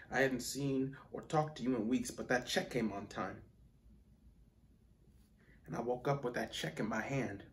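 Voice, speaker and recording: A man's voice: mid-range voice, a little hiss or hum, close to an everyday voice. An actor playing an angry, wounded teenage boy confronting his absent father in an intense, emotional theatrical monologue. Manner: intense, emotional, swinging from hushed to explosive.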